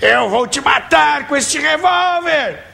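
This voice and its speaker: A man voicing a furious, melodramatic bandit from an old radio drama, declaiming in drawn-out threats. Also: extremely high pitch, animated, gravelly, bright, forceful tone, shouting, pitched above the performer's own voice, heavily acted. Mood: furious, threatening. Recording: noisy TV studio audio.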